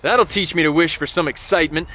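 An actor playing a cynical young US Army private with an American accent, narrating in a wry, profane, slangy way. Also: male voice, fairly high pitch, fast, bright, forceful tone. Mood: tense, urgent. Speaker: soldier narrator. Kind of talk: dramatic first-person game narration. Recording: music behind the voice.

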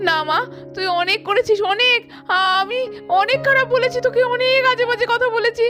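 A woman's voice: extremely high pitch, brisk. A woman voicing an emotional middle-aged mother in a puppet cartoon, repeating herself as she pleads. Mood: remorseful. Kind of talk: cartoon voice acting. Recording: background music under the voice.

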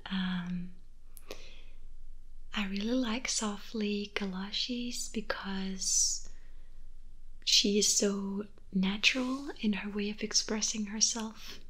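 Woman, 20s, Danish native, ASMR whisper, husky, very slow, close mic, bedroom. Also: medium pitch, fairly even intonation. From Denmark. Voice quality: breathy whisper. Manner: soft, slow, unhurried whispering. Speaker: ASMR creator. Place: bedroom, indoors.

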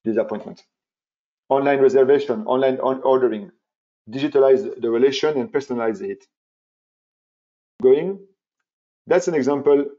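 A man's voice: mid-range voice, normal speed, long pauses. A man, presenting a marketing webinar in an emphatic, repetitive way with many fillers and restarts. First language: French. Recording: dull, muffled webinar audio.

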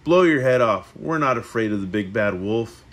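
A man's voice: fairly low pitch, expressive, moderate pace, fairly bright tone. A man voicing a young little pig from a storybook, with emphatic, chanted delivery. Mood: defiant.

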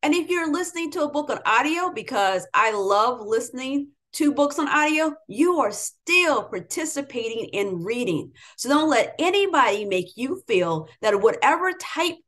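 A woman's voice: high voice, sing-song, moderate pace, hardly any pauses, a bit bright. A middle-aged American woman, an educator, giving an emphatic motivational talk to students. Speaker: educator, interviewer. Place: home room with bookshelves, indoors.

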